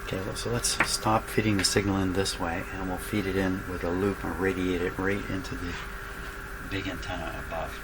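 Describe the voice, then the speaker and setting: Loud background noise surrounds a man with a fairly low voice in a small workshop. He talks casually to himself as he works, musing and halting as he thinks aloud. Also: little pitch movement. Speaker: radio repair hobbyist, host of a workshop show. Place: small indoor workshop crowded with electronic test gear.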